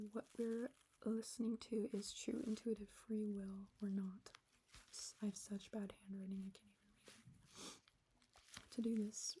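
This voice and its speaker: A young adult woman with a breathy, whispery voice, reading her own reflective writing aloud to viewers in a subdued monotone. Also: medium pitch, slight background noise, poor audio. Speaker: vlogger.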